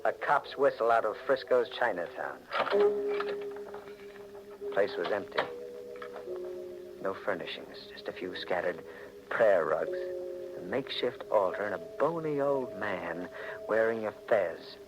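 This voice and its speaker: Dramatic, animated hard-boiled American. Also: male voice, on the low side, measured pace, pauses often, loud music behind the voice. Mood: wary, tough. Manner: terse, dramatic, tough-guy delivery. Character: hard-boiled, wary American man haunted by an idol. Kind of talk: 1940s radio mystery narration and acting. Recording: noisy old 1940s radio recording.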